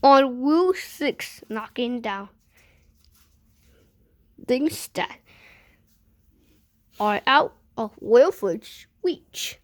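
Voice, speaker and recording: A woman reading a children's picture book aloud in a deliberate way, pausing between short phrases. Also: fairly high pitch, lively intonation. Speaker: storybook reader.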